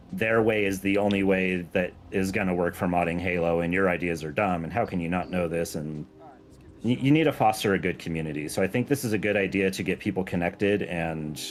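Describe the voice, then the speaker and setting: A man with a fairly low voice talks in a casual, earnest way, as a guest on a gaming stream would. He is informal and plays himself down. There is faint noise in the background of the recording. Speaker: guest, game mod creator.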